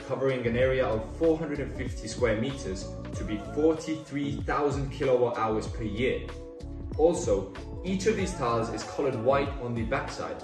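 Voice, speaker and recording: A man's voice: medium pitch, roomy sound. A young man in his twenties giving an upbeat, scripted narration for a student design video. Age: 20s. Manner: upbeat, playful presenting tone. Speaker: student presenter. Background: background music.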